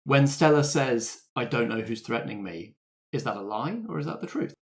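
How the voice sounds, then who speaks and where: A game master narrating a tabletop roleplay in a measured, wry manner. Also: medium pitch, animated.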